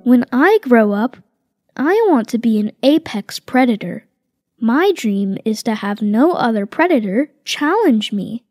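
Slow, sing-song woman reading aloud. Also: fairly high pitch, expressive, darkish tone, no echo. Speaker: reader voicing tiger cubs. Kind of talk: children's picture book read-aloud, slow and expressive.

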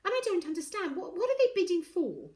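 A woman reader acts out a young girl in a storybook. Her voice is pitched high and moves freely, earnest and curious, always ready to question the grown-ups. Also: huge pitch swings, fairly quick, darkish tone, full character voice. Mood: earnest, protesting.

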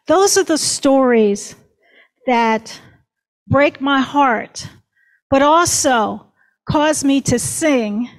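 A woman's voice: high-pitched, expressive, extremely slow, long pauses, rough recording. A woman history professor giving an earnest, emphatic storytelling talk on a panel.

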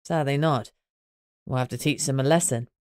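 A woman voicing a grim, protective male werewolf in an audiobook, steady and matter-of-fact. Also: very deep voice, normal speed, smooth, no echo, clean studio sound, pitched below the performer's own voice.

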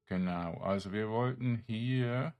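A man giving casual, halting game commentary, rambling as he thinks aloud. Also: on the low side, a little mellow. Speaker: let's player. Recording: game music behind the voice. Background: video game music.

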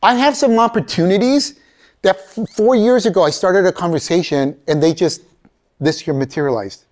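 Animated man speaking in a lecture hall. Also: sits high, moderate pace. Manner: animated, addresses audience as folks, acts out quoted voices. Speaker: keynote speaker. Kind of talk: motivational career talk. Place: college lecture hall, large, indoors. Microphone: far camera in audience.

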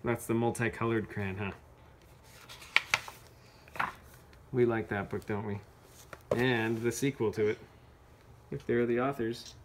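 A woman's voice: rumbling low, steady tone, unhurried, long pauses, background noise. A woman reading a picture book aloud casually to children, chatting and asking them questions about it. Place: carpeted room at home.

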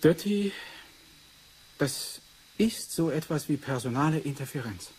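A man's voice: on the high side, animated, unhurried, darkish tone, breathy edge, heavily acted, rough recording. An actor playing an eager, insistent male investigator who muses and explains in a radio play. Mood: musing, insistent. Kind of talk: 1980s German radio play acting.

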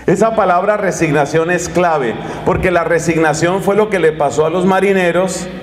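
Colombian preacher guy, fairly high voice, talks right at the crowd, big echoey hall. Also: moderate pace. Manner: animated, gesturing, addressing listeners directly. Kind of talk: lively sermon talk to an audience. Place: conference hall with curtained stage. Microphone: handheld microphone.

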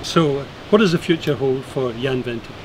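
A man's voice: medium pitch, moderate pace. An older man interviewing a guest with formal, polished questions on an outdoor terrace. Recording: outdoor noise behind voice.

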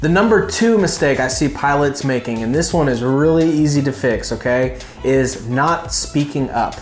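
A man's voice: medium pitch, expressive, moderate pace, echoey room, soft background music. A man in his thirties giving an informal, emphatic instructional talk to camera as a host, recorded on a lapel mic in a living room. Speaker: flight instructor and video host. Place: small furnished living room indoors.

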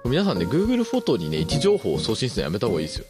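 Fast-talking, animated man podcast co-host. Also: mid-range voice. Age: around 30. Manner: animated, explaining, conversational. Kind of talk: casual chat, telling personal stories. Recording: background music under the talk. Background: music.

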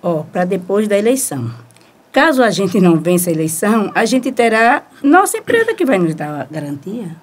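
An actress playing a sharp-tongued, scheming small-town wife in a radio soap opera, with a Northeastern Brazilian accent and colloquial delivery. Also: female voice, mid-range voice, moderate pace. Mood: persuasive. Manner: colloquial, animated, exclamatory.

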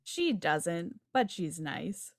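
A woman's voice: lowish voice, sing-song, drawn-out words, dry recording, studio quality. A woman reading stage directions as scripted narration for a table read on a video call. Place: home room on a video call.

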